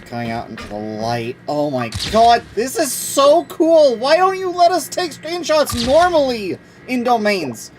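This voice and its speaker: A woman livestreaming a video game with casual, slangy, excitable commentary. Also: on the high side, unhurried, few pauses, slight background noise. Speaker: game streamer. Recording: game music behind the voice.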